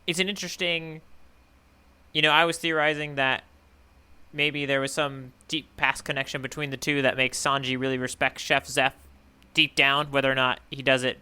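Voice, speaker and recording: A man's voice: mid-range voice, flat delivery, normal speed, pushed and bright, smooth. A man co-hosting a podcast in casual chat, thinking aloud as he goes. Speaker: podcast co-host. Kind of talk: casual chat, manga readalong discussion.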